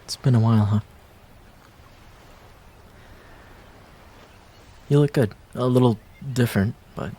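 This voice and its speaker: A man acting a wistful young ex-boyfriend in an intimate roleplay audio drama, speaking casually and hesitantly, with pauses and trailing phrases. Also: mid-range voice, dark tone, breathy edge, close to an everyday voice. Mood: wry, downcast.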